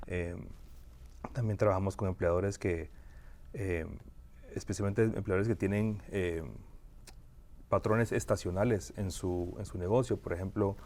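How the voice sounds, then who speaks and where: A man from Guatemala, an immigration lawyer, answering interview questions in a measured way with frequent fillers and restarts. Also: low-pitched, steady tone, a little mellow.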